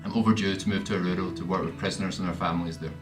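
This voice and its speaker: A man's voice: deep voice, steady tone, racing, reverberant, over music. A young man with a Glasgow accent giving a hesitant update on his mission work. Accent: Scottish, Glasgow. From Scotland. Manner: hesitant, with fillers. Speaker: short-term mission worker. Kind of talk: recorded personal update.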